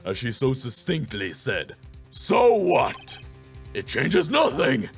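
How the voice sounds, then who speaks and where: Guy doing a smug cold prosecutor, sneery, clipped, swingy pitch. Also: wildly swooping pitch, takes it slowly, creaky, faint music behind, heavily acted. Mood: sneering. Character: arrogant male prosecutor, cold, condescending. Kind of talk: video game voice acting.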